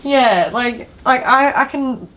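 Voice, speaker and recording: A woman's voice: high voice, takes it slowly, a bit bright, slight background noise, poor audio. A woman chatting casually about video games as a podcast co-host.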